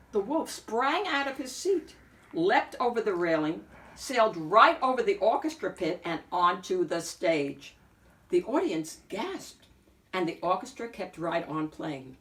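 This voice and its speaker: A woman reading a picture book aloud as an expressive narrator, phrasing deliberately with emphatic swells. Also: medium pitch, far from the mic. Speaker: picture book reader and host.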